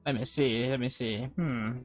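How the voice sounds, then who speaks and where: A woman's voice: very deep voice, normal speed, soft background music. A woman hosting a let's play, reading a visual novel aloud with chatty asides to viewers. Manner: chatty, addresses viewers directly.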